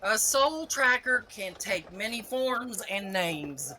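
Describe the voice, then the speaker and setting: A woman playing a bossy, exasperated chief of underworld soul trackers in an audio drama, authoritative and scolding in a deliberate way. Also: mid-range voice, expressive, sharp tone, slight background noise. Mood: grim, firm. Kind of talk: scripted audio drama acting.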